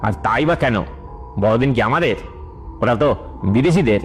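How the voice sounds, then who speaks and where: A man's voice: medium pitch, expressive, normal speed, soft background music, close to an everyday voice. A man voicing an irritable, grumbling village father who is short on patience in a children's cartoon. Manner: grumbling, exasperated, dismissive.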